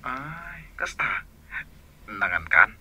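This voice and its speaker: A man with a Northern Philippine accent playing a playful, smitten young son in a radio drama, teasing and expressive. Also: fairly low pitch, very slow, slightly rough, lo-fi sound, full character voice.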